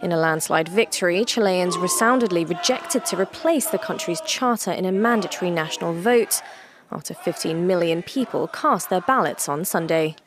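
A woman's voice: on the low side, animated, normal speed. A woman reading a scripted news report voice-over as a correspondent.

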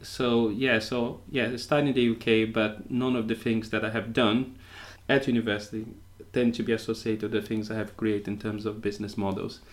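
Halting, thoughtful Brazilian-born man. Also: fairly low pitch, flat delivery. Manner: thoughtful, halting, many restarts. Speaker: guest, co-living entrepreneur. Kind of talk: conversational interview answers.

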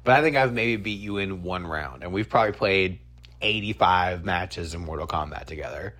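An American man in his thirties hosting a casual comedy podcast, swearing freely and telling stories in an animated, self-deprecating way. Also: low-pitched, moderate pace. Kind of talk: casual, profane chat about movies and games.

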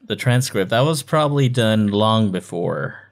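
A man's voice: on the low side, animated, unhurried, vocal fry. A man co-hosting a podcast, chatting informally about books and repeating himself for emphasis. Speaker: podcast co-host. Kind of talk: casual book discussion chat.

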